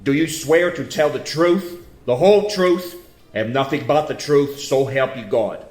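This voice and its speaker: A man's voice: mid-range voice, measured pace, darkish tone, close to an everyday voice. A man playing a formal, solemn court officer in an audio drama. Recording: roomy echo, faint music behind.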